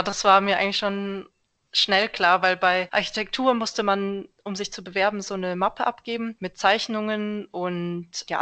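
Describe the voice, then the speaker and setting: Relaxed young woman, podcast guest. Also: medium pitch. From Germany. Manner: relaxed, thoughtful, fillers like "also" and "genau". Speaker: podcast guest, civil engineer. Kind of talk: casual interview answers.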